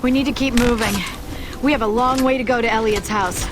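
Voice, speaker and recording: A woman's voice: fairly high pitch, steady tone, fairly quick, heavy background noise, natural voice. A woman playing an earnest female soldier in a video game, serious and urgent in delivery. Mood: urgent alarm. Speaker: game character actor. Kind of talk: dramatic game dialogue.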